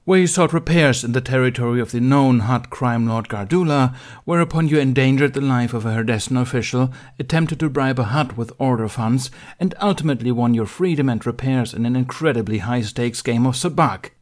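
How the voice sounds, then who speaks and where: A man's voice: mid-range voice, normal speed, few pauses, pitched above the performer's own voice, natural voice. A man voicing a stern senior warrior-monk who lists charges in a clipped, formal, reproachful way in an audiobook reading. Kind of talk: audiobook reading of fan fiction.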